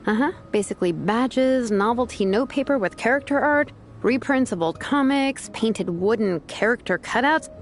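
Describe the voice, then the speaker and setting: A woman voicing a dry, wry young craftswoman, higher-pitched. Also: lively intonation, moderate pace, slight background noise, lightly acted. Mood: dry, mildly exasperated. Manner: dry, understated. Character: laid-back, dryly wry young woman craftswoman, older-sister type. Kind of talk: video game voice acting.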